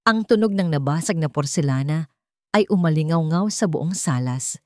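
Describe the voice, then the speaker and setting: A woman's voice: sits low, expressive, normal speed, no echo, clean studio sound. A woman reading scripted dramatic fiction as a story narrator.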